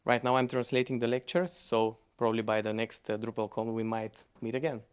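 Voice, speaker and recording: Male presenter, English, relaxed, conversational, stumbles and restarts mid-sentence. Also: mid-range voice, brisk, clean tone. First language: Bulgarian. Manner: loose, conversational, with frequent restarts. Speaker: conference speaker. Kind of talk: conference presentation with Q&A.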